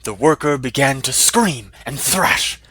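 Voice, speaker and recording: A man's voice: medium pitch, lively intonation, unhurried, sharp tone. A man narrating a scripted fantasy story in a dramatic style.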